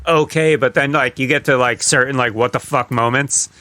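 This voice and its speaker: A man's voice: mid-range voice, fairly even intonation, moderate pace, bright, forceful tone. A man co-hosting a podcast, chatting casually about games and films in a blunt, sweary way. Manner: blunt, profane. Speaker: podcast co-host.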